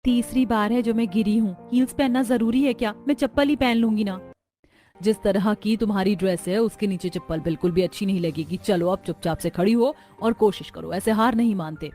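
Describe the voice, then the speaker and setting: A woman voicing chatty, friendly young Indian daughters-in-law from a neighbourhood in an expressive, conversational way for a cartoon story. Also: mid-range voice, a little fast, faint music behind, natural voice. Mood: reluctant, insistent.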